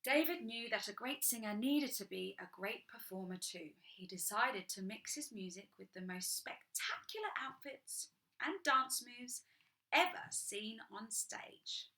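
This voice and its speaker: Bubbly lady reading a kids' book, voice bounces all over, really bright. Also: fairly high pitch, lively intonation, unhurried. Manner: chatty, enthusiastic asides to young listeners. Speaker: children's storytime reader. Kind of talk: reading a picture book aloud to children.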